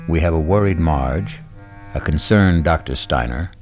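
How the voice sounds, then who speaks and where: A man hosting a radio drama, narrating in a deliberate, theatrical old-time style. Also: very deep voice, music in the background.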